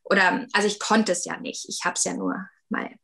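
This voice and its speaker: A woman's voice: mid-range voice, flat delivery, fairly quick, vocal fry, fairly bright tone. A woman in her thirties, a 3D artist talking casually in an interview, with lots of fillers and hedges. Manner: chatty, many fillers and hedges.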